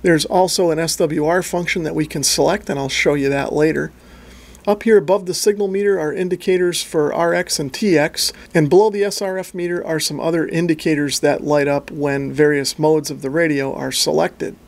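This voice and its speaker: A man's voice: a little high, fairly even intonation, a little fast, gravelly. A middle-aged man presenting a product overview and demonstration in a methodical, plain-spoken way. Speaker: radio reviewer and presenter.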